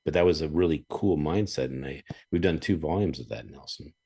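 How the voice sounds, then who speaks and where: Man, podcast host, extremely low voice, lively storytelling, casual chat. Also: fairly quick. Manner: animated storyteller, chuckles, clears throat often.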